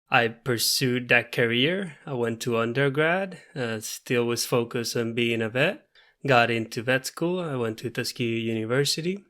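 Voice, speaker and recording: A man's voice: medium pitch, a bit bright, clear, resonant tone. A man in his thirties from Puerto Rico with a Puerto Rican Spanish accent, a native Spanish speaker, talking earnestly as a veterinarian guest in a podcast interview. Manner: earnest, measured, frequent fillers.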